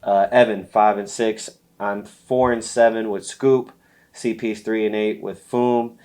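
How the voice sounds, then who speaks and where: A stuffy-nosed man with a lowish voice and little rise or fall in his pitch. He runs a laid-back fantasy football chat, drifting through fillers and easy chuckles. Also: a little slow. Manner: relaxed, lots of fillers and light laughs. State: stuffy from allergies. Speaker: podcast co-host.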